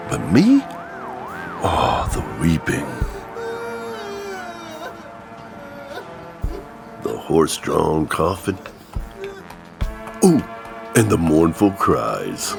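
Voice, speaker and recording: Very deep, gravelly devilish narrator. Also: male voice, heavily acted. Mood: gleeful relish. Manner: theatrical, savoring, teasing. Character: sly devilish male spirit narrator relishing death and darkness. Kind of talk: horror audio-drama narration. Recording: music under the voice.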